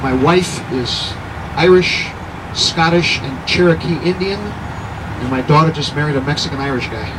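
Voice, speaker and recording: A man's voice: on the high side, normal speed. A man in his sixties giving a guided city tour to a group, chatty and jokey, often quizzing his listeners. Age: 60s. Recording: noisy outdoor recording with traffic.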